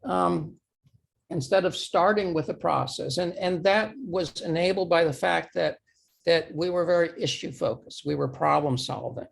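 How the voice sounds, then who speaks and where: A man giving a presentation, his voice going hoarse, halting and repeating words as he poses true-or-false questions. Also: a little high. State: losing his voice, clearing throat. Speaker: conference speaker. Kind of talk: talk presentation with audience questions.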